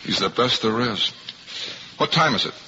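A man plays a young ballplayer full of self-doubt, downcast and earnest in old radio style. His voice sits at a middle pitch on a dull, reverberant recording. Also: lively intonation, normal speed, loud music behind the voice, close to an everyday voice. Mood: dejected, worried. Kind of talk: 1950s radio drama acting.

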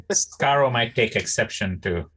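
A man's voice: medium pitch, animated, takes it slowly, fairly bright tone, breathy edge. A man chatting casually as a co-host on a podcast. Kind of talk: casual chat.

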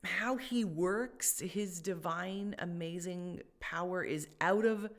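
A woman's voice: on the low side, slow pace. A woman co-hosting a faith podcast, talking casually and thoughtfully, with many fillers and restarts. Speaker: podcast co-host.

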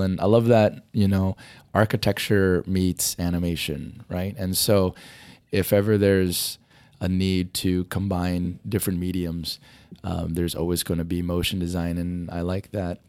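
Middle-aged male guest, low dark voice, hoarse and fading, talks in loose rambling answers. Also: no echo, clean studio sound. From Hawaii. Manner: rambling, many fillers and restarts. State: losing his voice. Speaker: motion design educator. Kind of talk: conversational interview answers.

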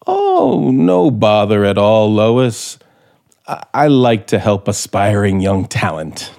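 Slow, bitter, menacing American scriptwriter. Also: male voice, on the low side, big pitch swings, vocal fry, a little mellow, heavily acted. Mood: falsely genial. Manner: theatrical, sardonic, deliberate. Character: bitter, menacing frustrated male radio scriptwriter plotting murder. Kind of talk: 1950s American radio drama acting. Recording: vintage 1950s radio recording with music.

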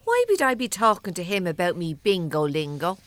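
An actress with an Irish accent playing a chatty, down-to-earth housekeeper in an audio drama, homely and colloquial. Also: female voice, lowish voice, very animated, a little fast, fairly bright tone, no room sound. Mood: innocent.

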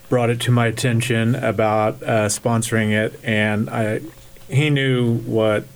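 A man's voice: lowish voice, poor audio. Man, radio sponsor, casual talk, hesitant, fillers and restarts. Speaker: program sponsor.

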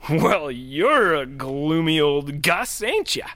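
A man's voice: a little high, big pitch swings, takes it slowly, a bit bright, dry recording, full character voice. A man playing a chatty, cheeky hospital worker in an audio drama, with a folksy, breezy, teasing way of talking. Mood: teasing, snide. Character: chatty, cheeky male hospital worker, younger than painter.

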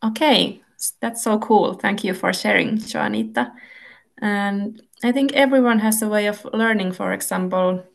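A woman with a Finnish accent hosts a podcast in English. Her voice has a gravelly, creaky texture at a middle pitch. Her delivery is clearly paced and partly reads like a prepared script. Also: measured pace. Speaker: podcast host and Finnish language lecturer. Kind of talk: podcast hosting, partly read intro.

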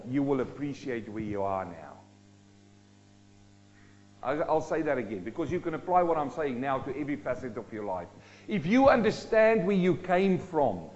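Male preacher from South Africa, lighter pitch, dramatic swings in volume and pace, dull lo-fi recording. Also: a little mellow. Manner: dynamic, rising and dropping sharply for emphasis. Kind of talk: sermon.

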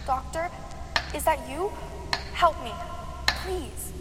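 A woman's voice: high voice, slow, heavy background noise. An actress playing a frightened young kidnapped girl in an audio drama, pleading and fearful. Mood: desperate, afraid. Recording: loud music over the voice.

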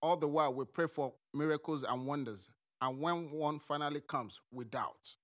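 Bright-voiced, firm middle-aged father played by an actor. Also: fairly high pitch, normal speed, no echo, clean studio sound, close to an everyday voice. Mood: humbled. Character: Joseph's stern but reasonable father. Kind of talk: audio drama acting.

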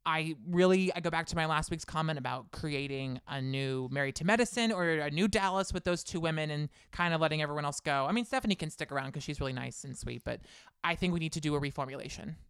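Sex: woman. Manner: heated, opinionated, fast ranting. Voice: smooth. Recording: dry close mic. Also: sits low, no room sound. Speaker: podcast co-host. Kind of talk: casual chat about reality TV.

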